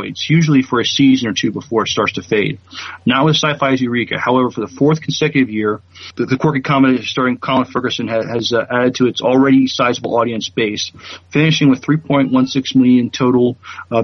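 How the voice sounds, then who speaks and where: A man co-hosting a podcast, reading news and chatting in a halting way, stumbling over words. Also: medium pitch, slightly rough, dull audio. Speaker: podcast co-host.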